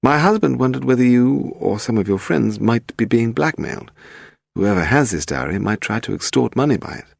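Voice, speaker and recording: A man's voice: medium pitch, expressive, a little fast, creaky, no room sound, studio quality, voice pushed up. A man reading the part of a polite, persistent English woman, a writer's wife, in an audiobook mystery. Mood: earnest, provocative. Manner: poised, persevering.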